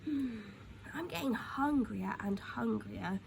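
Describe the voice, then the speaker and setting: A greedy storybook crocodile, acted out by a woman schemes slyly to himself. The delivery swings widely in pitch and mutters like a plotter. The recording has clear background noise. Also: animated, takes it slowly, a little mellow, exaggerated voice. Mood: hungry, grumbling.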